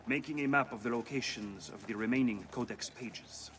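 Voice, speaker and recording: An actor playing a serious, shrewd strategist and mentor in a video game cutscene. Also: male voice, mid-range voice, normal speed, fairly bright tone, recorded from a distance, a little hiss or hum, lightly acted. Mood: explanatory, grim.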